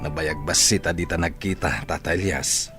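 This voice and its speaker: A man acting an ailing, gentle retired schoolteacher in an Ilocano radio drama, with an Ilocano Filipino accent. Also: low-pitched, normal speed, faint music behind. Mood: pleased, welcoming. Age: older.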